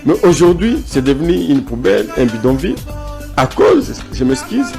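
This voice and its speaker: A man's voice: a little high, sing-song, moderate pace, creaky, darkish tone, faint music behind. A man from South Kivu with a Congolese French accent, speaking as a guest in a political interview, emphatic and repeating phrases to press his points. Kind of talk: political interview, opinionated argument.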